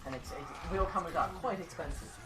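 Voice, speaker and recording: A man's voice: fairly high pitch, fast talker, very noisy. A young man hosting a charity game stream, chatting casually and rambling with lots of fillers and repeats. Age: young adult. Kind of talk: casual chat while streaming games. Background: loud music.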